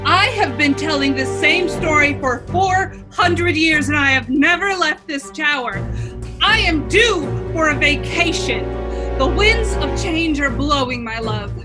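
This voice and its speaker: A woman's voice: sits high, expressive, unhurried, music in the background. A woman playing a fed-up, defiant fairy-tale princess in a radio comedy, exasperated and emphatic. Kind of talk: irreverent radio play acting.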